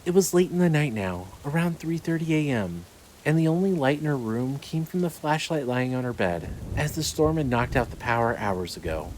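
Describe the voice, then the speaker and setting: A man's voice: medium pitch, animated, normal speed, darkish tone, slight background noise, low-quality recording. A man reading scripted narration as the narrator and announcer of a fiction podcast.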